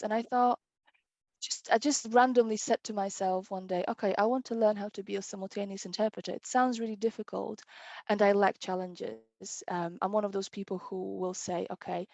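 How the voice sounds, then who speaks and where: A woman's voice: medium pitch, no room sound. Woman, thirties, from Poland, native Polish speaker, eager storyteller, dry close sound. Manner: enthusiastic, animated, laughs often; self-described competitive. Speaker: interpreter, guest. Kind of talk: casual interview storytelling.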